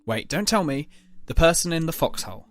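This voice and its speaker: A man playing a defensive divorced father in an audio drama, guarded and quick to snap. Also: a little high, very animated, no room sound, soft background music. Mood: guarded, gentle. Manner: guarded, snapping when provoked. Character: immature, defensive divorced young father, trying to be gentle.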